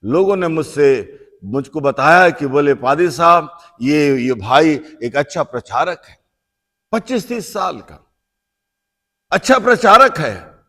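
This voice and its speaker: A man preaching a sermon as a bishop, slow and emphatic, swinging from loud repeated phrases down to soft, quiet lines. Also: a little high, animated. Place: church. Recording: long church reverb.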